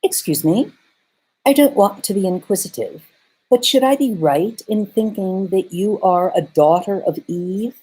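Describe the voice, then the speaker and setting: A woman voicing a polite, excitable male faun in a storybook reading, with fussy, old-fashioned courtesy. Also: medium pitch, measured pace, breathy edge, voice pushed up, low-quality recording. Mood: eager courteous. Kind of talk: storybook read-aloud.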